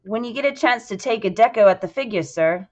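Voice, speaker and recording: A woman's voice: a little high, animated, brisk, pitched above the performer's own voice, natural voice. A woman voicing an eager, cheeky but nervous working-class British man from a novel, chatty and colloquial. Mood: eager, deferential. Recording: dull lo-fi sound.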